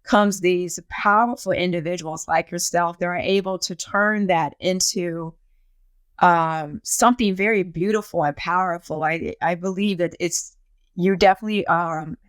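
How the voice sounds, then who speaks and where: A woman's voice: fairly low pitch, steady tone, moderate pace, clear, resonant tone, dry recording. Woman hosting an interview podcast. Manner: encouraging, affirming murmurs. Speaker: podcast host. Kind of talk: interview host.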